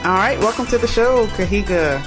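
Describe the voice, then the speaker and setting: A man's voice: sits high, lively intonation, normal speed. Upbeat man hosting a podcast, faint music. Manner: upbeat, many filler ums and uhs. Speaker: podcast host.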